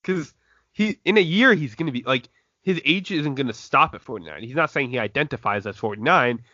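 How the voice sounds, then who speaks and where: A man's voice: medium pitch, lively intonation, a little fast, bright, forceful tone, no room sound. A young man hosting a casual podcast chat, reading out news stories and laughing easily. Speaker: podcast host. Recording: dull lo-fi dry recording.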